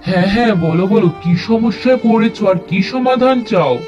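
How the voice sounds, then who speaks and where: A man's voice: high-pitched, fairly quick, a little mellow, soft background music, close to an everyday voice. A man voicing a middle-aged shopkeeper brother-in-law in a family cartoon, sounding sympathetic but evasive. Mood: regretful. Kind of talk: cartoon voice acting. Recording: dull lo-fi recording.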